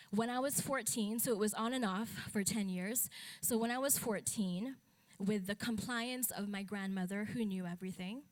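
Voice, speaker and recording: A young adult woman from the Philippines giving a conference talk as a speaker and advocate, candid and matter-of-fact with wry humor. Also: medium pitch. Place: stage at a talk event.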